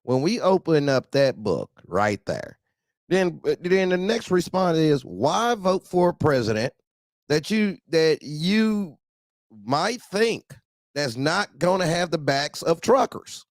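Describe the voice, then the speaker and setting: A man's voice: on the high side, sing-song, slow, dry recording, studio quality. An American man hosting a casual, heated talk show, speaking in slangy colloquial language and repeating phrases for emphasis. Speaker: host of a trucker talk show. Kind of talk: casual, heated group chat.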